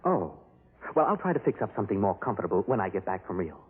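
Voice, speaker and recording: An actor playing a smug, scheming, breezily confident husband in theatrical 1950s radio drama style. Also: male voice, lowish voice, big pitch swings, fast talker, husky, heavily acted. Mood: breezy, confident. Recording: muffled, narrow-band old radio recording.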